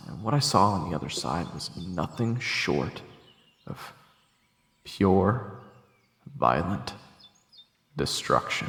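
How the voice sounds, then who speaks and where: Deep, gravelly male narrator. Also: a bit bright. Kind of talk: scripted first-person story narration. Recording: echoey, long reverb, faint music behind. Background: music.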